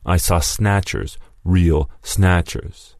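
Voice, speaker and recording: A man voicing Mason, a gruff, defiant war veteran who speaks in low, clipped lines. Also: takes it slowly, a little mellow, dry recording, studio quality.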